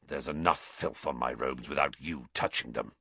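A man voicing a gaunt, bitter fugitive with a croaky, hushed voice and a grave, intense manner in a story. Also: deep voice, expressive, unhurried, no room sound, voice pushed down, full character voice. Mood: grave, low.

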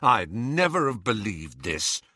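A man reading a storybook, voicing an angry teenage boy with a sharp, cutting, scornful manner. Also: fairly low pitch, sing-song, measured pace, no room sound, clean studio sound, exaggerated voice. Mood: furious.

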